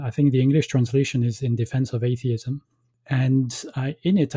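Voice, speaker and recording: A man who grew up in Belgium and speaks French as his first language, giving measured, thoughtful interview answers with frequent pauses. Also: medium pitch, mellow, no echo. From grew up in Belgium, born in France. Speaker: guest academic.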